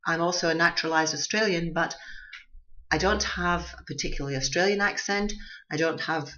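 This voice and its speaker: A radio host with a mixed Scottish and Durham English accent gives a measured, reflective commentary monologue, often restarting phrases. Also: medium pitch, sharp tone. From Scotland, raised partly in Durham, England. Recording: dull lo-fi recording.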